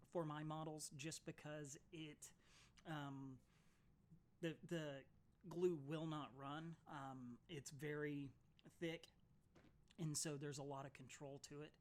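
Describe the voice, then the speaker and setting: A man, his voice slightly raspy and soft from allergies, talking through a casual hobby tutorial with plenty of ums and uhs. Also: a little high, a little hiss or hum. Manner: chatty, many ums and uhs, apologetic. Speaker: miniatures hobby YouTuber.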